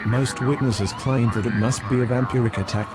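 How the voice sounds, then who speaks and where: A man's voice: medium pitch, fairly even intonation, normal speed, loud music behind the voice, lightly acted. A man playing a TV field reporter, giving a scripted, serious live report from a crime scene. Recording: very loud sirens and traffic noise. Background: police sirens, vehicles, street ambience.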